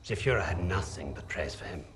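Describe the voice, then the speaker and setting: An actor playing an arrogant Nazi interrogator officer in a video game cutscene, pompous and condescending. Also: male voice, deep voice, animated, a little fast, background noise. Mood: smug. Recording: game cutscene with music behind.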